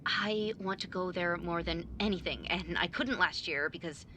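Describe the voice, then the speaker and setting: A woman's voice: on the low side, normal speed, pushed and bright, slight background noise. A young woman playing a cranky, impatient younger sibling in an audio drama, talking bluntly and with lots of swearing. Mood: eager, wistful.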